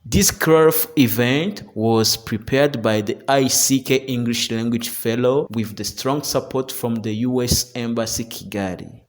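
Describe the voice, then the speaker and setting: A man reading a scripted news report as its narrator. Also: medium pitch.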